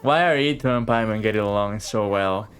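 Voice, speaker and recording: A man's voice: lowish voice, brisk, a bit bright. A man giving casual live commentary while streaming a game, slangy and swearing freely, often repeating what the characters say. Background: faint game music.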